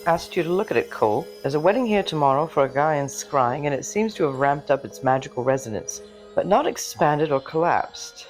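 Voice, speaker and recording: A man voicing a brisk, skeptical witch grandmother in audio fiction, professional and matter-of-fact. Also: a little high, lively intonation, soft background music, natural voice. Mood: wary, questioning. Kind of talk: audio fiction character dialogue.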